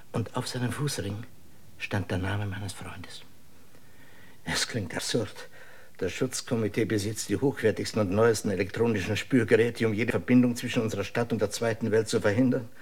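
A man playing a grave, cautious dissident scientist in a German radio drama, husky and measured. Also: lowish voice, slight background noise, close to an everyday voice. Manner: measured, deliberate, serious.

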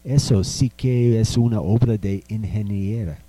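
A man's voice: fairly low pitch, a little slow, mellow. A man playing a courteous old-time merchant who is amazed by the modern world, in an amateur radio drama.

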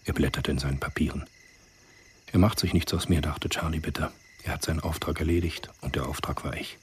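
A man's voice: very deep voice, steady tone, brisk, a little hiss or hum. A man reading scripted literary narration as the narrator of a radio drama.

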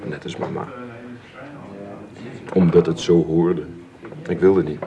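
An actor playing an earnest, reflective middle-aged aristocratic father who speaks in a measured, confiding, halting way in a Dutch radio drama. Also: low voice, level tone, mellow.

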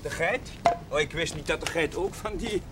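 A man's voice: on the high side, moderate pace, heavy background noise, natural voice. An actor playing a young male village chaplain, polite, hesitant and eager to please. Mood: awkward, friendly.

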